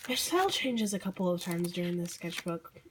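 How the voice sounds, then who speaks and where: A teenage girl with a hoarse, croaky voice from being sick, casually and apologetically flipping through her sketchbook on camera. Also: on the low side, expressive, brisk, slight background noise. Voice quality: croaky, losing voice. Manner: apologetic, rambling with fillers and small laughs. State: hoarse from illness. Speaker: vlogger. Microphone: handheld camera mic.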